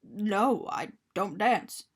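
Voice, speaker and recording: A woman's voice: mid-range voice, drawn-out words, slightly rough. A woman voicing a fussy, polite and kindly man in a picture-book story, speaking in a matter-of-fact way. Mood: fussy, dismissive. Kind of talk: animated picture-book character reading.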